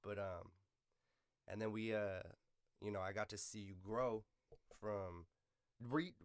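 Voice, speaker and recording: A man's voice: on the low side, fairly even intonation, unhurried, smooth, no echo, studio quality. A man hosting a podcast, chatting in a casual, slangy way like he is talking with an old friend. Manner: slangy, informal, swears casually. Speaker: podcast host. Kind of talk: casual interview chat among friends.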